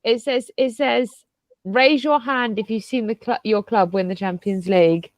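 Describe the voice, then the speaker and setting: A woman's voice: on the high side, moderate pace, dry recording. A woman joining casual football banter, laughing and exasperated. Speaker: panelist.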